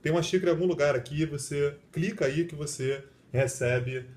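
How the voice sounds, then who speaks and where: A man's voice: fairly high pitch, darkish tone. A man, a professor co-hosting a casual chat, joking and informal. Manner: joking, informal, rapid banter. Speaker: co-host, professor.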